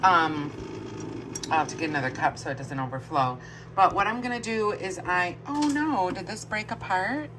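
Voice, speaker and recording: A woman chatting casually to her camera as a home vlogger, a bit stuffy-nosed, rambling along with soft asides. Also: fairly low pitch, animated, moderate pace, noisy background. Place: kitchen at home, indoors.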